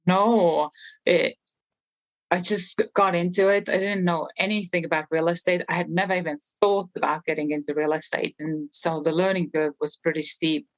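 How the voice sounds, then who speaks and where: Middle-aged woman, Estonian accent, low-pitched voice, conversational interview guest, dry close sound. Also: steady tone, moderate pace, no room sound, highs cut off. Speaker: interview guest, real estate trainer.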